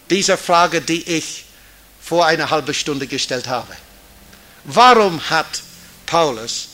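Slow, high-pitched man teaching. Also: sharp tone. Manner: deliberate, didactic, with rhetorical questions and emphasis. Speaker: Bible teacher. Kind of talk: Bible teaching talk to an audience.

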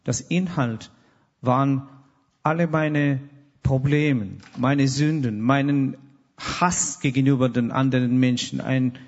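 A man's voice: mid-range voice, a little mellow, husky, muffled recording. Earnest man in a reverberant hall. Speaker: guest. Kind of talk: personal testimony.

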